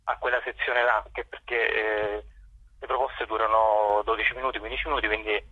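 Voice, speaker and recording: A man's voice: lowish voice, level tone, fairly quick, no room sound, phone-quality sound. A man answering interview questions as a festival director, hesitating and repeating words as he searches for phrasing.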